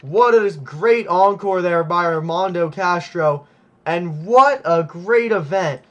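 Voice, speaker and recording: A male play-by-play commentator with a high voice who is losing it, going hoarse as he calls the action. He is hyped and keeps exclaiming, but his delivery stays unhurried. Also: fairly bright tone, dull audio. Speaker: commentator for a simulated monster truck series.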